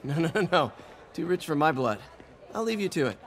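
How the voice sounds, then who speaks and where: A man's voice: on the high side, big pitch swings, normal speed. An actor playing an earnest, boyish young American man, a slightly awkward hero, in a video game. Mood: casual. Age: young adult. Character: earnest, awkward young male superhero in everyday life.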